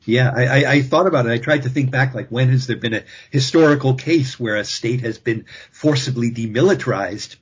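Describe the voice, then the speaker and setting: A man with a very husky, breathy voice talks through a panel discussion as an expert guest, careful and measured, often circling back to restart a phrase. His voice comes through a dull, lo-fi line. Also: mid-range voice. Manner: measured, thinking aloud with frequent restarts.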